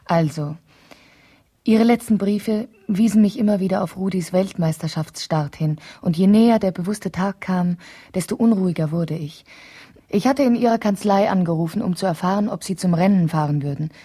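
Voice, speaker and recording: Radio play wife, lowish mellow husky voice, confiding letter monologue, hesitant and emotional. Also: female voice, moderate pace. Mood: confiding, uneasy. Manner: confiding, hesitant, thinking aloud. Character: wife writing an emotional letter to a trusted friend.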